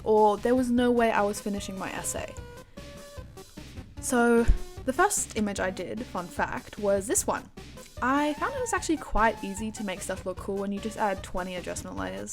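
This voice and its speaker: A young woman narrating her own art project in a casual, self-deprecating voice-over, often playing up the drama. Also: on the high side. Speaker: animatic creator.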